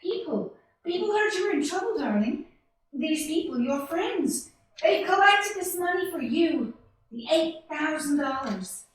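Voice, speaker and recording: High, animated devoted wife played by a woman. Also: measured pace. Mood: excited, tender. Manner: fervent, exclamatory. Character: devoted, excited young wife and mother. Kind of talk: live radio play acting. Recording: echoey hall, distant mic.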